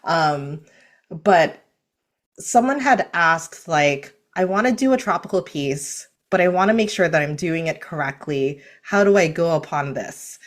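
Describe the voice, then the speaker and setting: A woman's voice: deep voice, measured pace. A woman from Hawaii telling stories in a casual panel chat, acting out quoted lines as she goes. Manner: animated storytelling with quoted asides.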